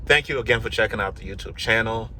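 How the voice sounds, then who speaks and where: A deep-voiced man from Ghana, middle-aged, telling his own story to a camera. His tone is bright and forceful, with easy fillers and restarts as he rambles along. There is a faint hiss of noise behind him. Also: fast. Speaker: YouTube vlogger. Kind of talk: casual personal storytelling to camera.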